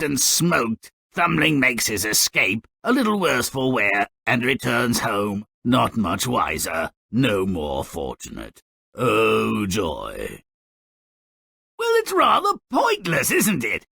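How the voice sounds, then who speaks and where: An actor playing a cynical, gleefully morbid, grumpy dwarf storyteller in a video game, with a raspy, gravelly voice and a pompous, sardonic, theatrical delivery. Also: male voice, on the high side, bright, forceful tone, no echo, studio quality, full character voice. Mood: scheming, sardonic. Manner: sardonic, pompous wordy, mock-dramatic swoops and drawn-out endings. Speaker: narrator character. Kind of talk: theatrical scripted game narration.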